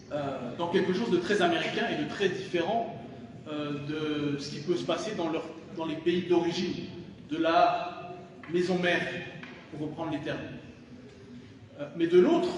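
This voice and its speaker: A man's voice: a little high, normal speed. A man giving a public lecture. He talks in a thoughtful way, with frequent hesitations and restarts. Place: lecture hall. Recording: echoey hall from far off, faint noise.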